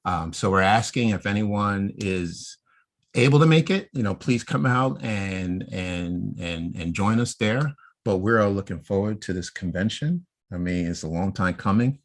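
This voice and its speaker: Podcast host: American male, low-pitched voice, informal discussion style. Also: animated, a little slow.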